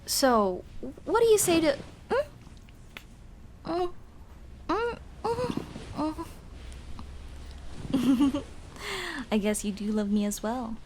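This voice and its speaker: A woman's voice: high-pitched, very animated, extremely slow, long pauses, a little mellow, a little hiss or hum, exaggerated voice. A young woman playing a shy, teasing tomboy childhood friend in love, in a casual, hesitant and intimate ASMR roleplay. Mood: bashful, affectionate. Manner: playful, bashful, hesitant, casual dude-talk. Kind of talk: ASMR roleplay acting, intimate girlfriend scene.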